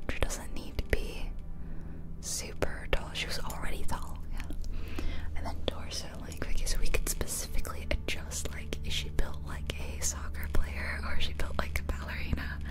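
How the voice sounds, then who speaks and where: A woman whispering softly and close to the microphone in casual, chatty ASMR commentary on a video game. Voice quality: soft unvoiced whisper. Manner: casual, rambling, chatty asides. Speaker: ASMR creator. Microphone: close ear-to-ear ASMR microphone.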